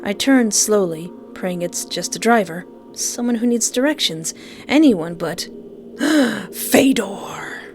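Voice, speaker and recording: A woman reading a visual novel aloud dramatically, breaking off with playful, joking reactions. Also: mid-range voice. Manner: expressive, joking asides in exclamations. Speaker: video game player reading the story aloud.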